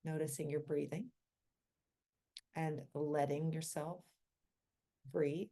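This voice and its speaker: A woman leading a guided meditation in a gentle, unhurried manner, with long pauses between short phrases. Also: low-pitched, steady tone, gravelly.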